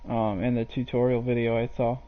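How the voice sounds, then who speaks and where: A man's voice: medium pitch, level tone, fairly quick, phone-quality sound. A man streaming a video game, chatting to viewers in a rambling, hesitant way full of fillers. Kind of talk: live gameplay commentary.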